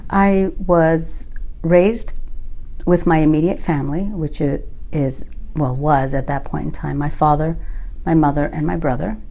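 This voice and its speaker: A woman in her fifties from California, a native Sicilian and Italian speaker, giving measured, thoughtful oral history answers with frequent pauses. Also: sits low, fairly even intonation, dark tone. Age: 50s. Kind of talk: reflective interview answers.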